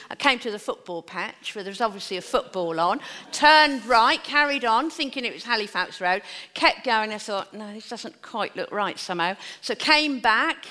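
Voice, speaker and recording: Woman preacher, bright and slightly high voice, chatty sermon, church reverb. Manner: chatty, self-deprecating storytelling before preaching. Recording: long echo of a church hall.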